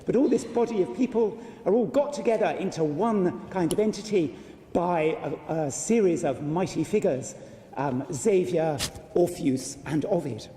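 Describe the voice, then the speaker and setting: A man giving an informal introductory speech to an audience, hesitant and pausing mid-phrase. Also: sits high, dark tone. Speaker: speaker introducing a public reading. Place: large hall with an audience. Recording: echoey hall with some noise and clicks.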